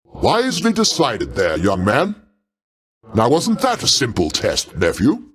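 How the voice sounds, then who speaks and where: An actor playing a proud, paternal older god in a video game, speaking in a pompous, avuncular way with formal, theatrical diction. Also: male voice, medium pitch, huge pitch swings, a little slow, fairly bright tone. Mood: gracious. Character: grandiose, paternal older male Greek god, proud, easily offended.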